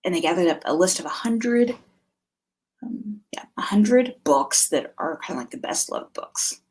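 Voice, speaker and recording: A middle-aged woman hosting a vlog, talking casually and conversationally, thinking aloud as she gives recommendations, with a slightly husky voice. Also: lowish voice, lively intonation, unhurried, a little mellow. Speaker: homeschool vlog host. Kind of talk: casual informal product recommendations to camera.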